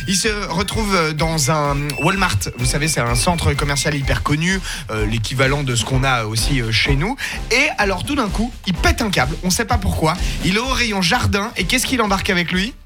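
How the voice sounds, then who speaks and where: High-voiced playful French radio man. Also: lively intonation, fairly quick, music in the background. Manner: playful, laughing, exaggerated shouting. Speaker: radio show presenter. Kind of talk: joking radio chat telling a news story.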